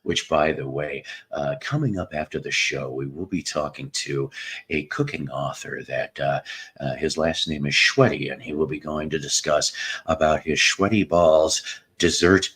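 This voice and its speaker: A man hosting a casual chat show, telling a long story in a relaxing voice, rambling with pauses and restarts. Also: extremely low pitch, fairly even intonation, a bit bright. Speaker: host.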